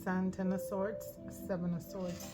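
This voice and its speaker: Hoarse woman reading tarot over music. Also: on the low side, flat delivery, measured pace. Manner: thinking aloud, frequent "right?". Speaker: tarot reader. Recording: music in the background.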